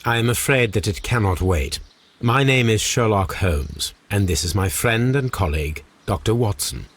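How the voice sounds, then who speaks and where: An actor playing an eccentric, cerebral Victorian English gentleman detective in a video game, speaking formally with precise, dry wit. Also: on the low side, expressive, normal speed. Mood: polite, inquiring. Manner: formal, precise, dryly witty.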